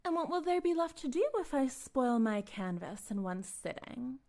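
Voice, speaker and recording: A woman playing a smug, possessive female cult leader in an intimate roleplay monologue, speaking deliberately and teasingly, often slipping toward a breathy whisper. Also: high-pitched, very animated, a little mellow.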